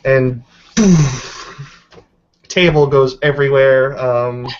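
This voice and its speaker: A man's voice: medium pitch, level tone, slow, clean tone. A man improvising narration as game master of a tabletop roleplaying session. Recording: dull lo-fi audio.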